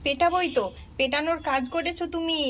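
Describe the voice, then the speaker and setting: A woman's voice: extremely high pitch, fairly even intonation, a little fast, a bit bright, a little hiss or hum. A woman playing a furious scolding mother. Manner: scolding, threatening. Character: angry young mother chasing her daughter.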